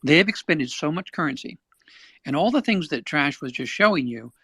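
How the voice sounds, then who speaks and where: A man's voice: on the high side, animated, a little fast, bright, forceful tone, slightly rough, dry recording. A man joining a live audio chat to make an opinionated point, arguing it step by step.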